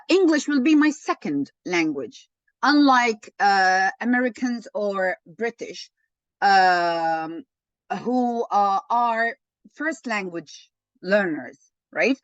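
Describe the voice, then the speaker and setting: Woman lecturer, unhurried, encouraging, dry close sound, dull call audio. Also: medium pitch, lively intonation, dry recording. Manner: slow, encouraging, thanks participants often. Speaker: webinar lecturer. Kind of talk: interactive online teaching session. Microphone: video call.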